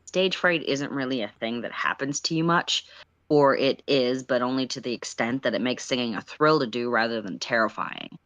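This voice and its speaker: Casual story reader on a stream, mid pitched voice, dry sound, jokey and self deprecating. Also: moderate pace, fairly bright tone, dry recording, muffled recording. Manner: self-deprecating, playful asides when slipping up. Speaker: co-narrator reading a story aloud. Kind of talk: livestream story reading with casual asides.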